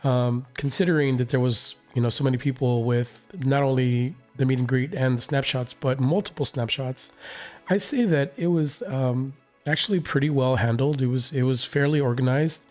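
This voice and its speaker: A man with a hoarse, recovering voice, casually recapping his concert experience with many fillers and restarts. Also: mid-range voice, fairly even intonation, moderate pace, darkish tone, faint music behind. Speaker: reaction channel host.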